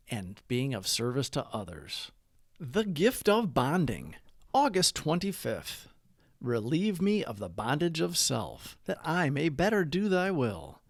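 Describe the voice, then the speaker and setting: A man's voice: mid-range voice, vocal fry, dry recording, studio quality. A man hosting a recovery channel, reading a scripted daily reflection and prayer.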